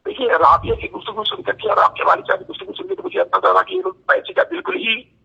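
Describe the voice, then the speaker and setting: High-pitched, gravelly man on the phone. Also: sing-song, fast, pushed and bright. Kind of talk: earnest explanatory phone conversation. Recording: dull lo-fi phone call.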